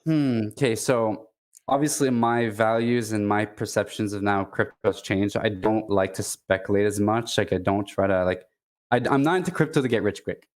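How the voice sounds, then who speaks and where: A man from Quebec with a Quebecois French accent, a native French speaker chatting casually as a guest, stopping and restarting often. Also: fairly low pitch. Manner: halting, many restarts and fillers. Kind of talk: casual chat.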